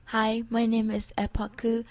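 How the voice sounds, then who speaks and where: A woman's voice: on the high side, monotone, a little slow, darkish tone. A teenage girl from Thailand, a student soccer player answering informally in an interview.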